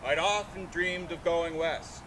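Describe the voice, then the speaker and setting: A man's voice: steady tone, unhurried. A man giving a live literary reading outdoors, declaiming in short, broken phrases. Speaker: artist reading aloud and taking questions. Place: outdoors in a sculpture park. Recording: noisy outdoor recording with vehicle rumble.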